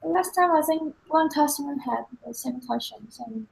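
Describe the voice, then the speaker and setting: A woman from Taiwan with a Taiwanese accent, a company staff member chatting casually on a livestream from the office, soft-spoken and restarting her phrases. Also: high-pitched, moderate pace, creaky, poor audio.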